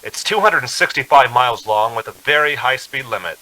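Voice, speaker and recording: A man's voice: medium pitch, animated, fairly quick, bright, forceful tone, rough recording. An actor playing an uneasy young man on a road trip in a suspense radio drama. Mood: brooding, uneasy. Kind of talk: radio drama acting, first-person suspense narration.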